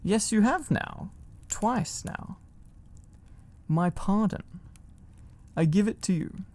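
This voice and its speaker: A man voicing a grand, amused old male wizard in a storybook, with a pompous, deliberate, teasing delivery. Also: sits high, animated, a little mellow, pitched above the performer's own voice. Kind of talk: storybook character voice.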